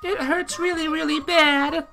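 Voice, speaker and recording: A man voicing an excitable, pouty, earnest young girl while reading a visual novel aloud. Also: very high-pitched, measured pace, gravelly, soft background music, pitched above the performer's own voice, heavily acted. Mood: pained, indignant.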